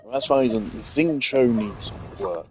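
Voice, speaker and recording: A man's voice: medium pitch, takes it slowly, darkish tone, slight background noise. A man giving casual gameplay commentary, rambling as he thinks aloud. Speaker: gamer.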